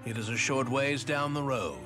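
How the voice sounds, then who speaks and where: An actor playing a lord's dutiful retainer in a video game, formal and courteous but cool. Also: male voice, mid-range voice, moderate pace, natural voice. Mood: cool, polite. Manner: formal, polite, clipped courtesy. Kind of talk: dramatic video game cutscene acting. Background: music.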